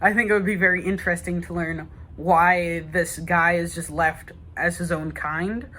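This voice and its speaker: A man's voice: sits high, level tone, normal speed, pushed and bright. A young man, an eager fan, chatting informally and rambling through his ideas as a guest. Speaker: guest gamer. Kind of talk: casual chat.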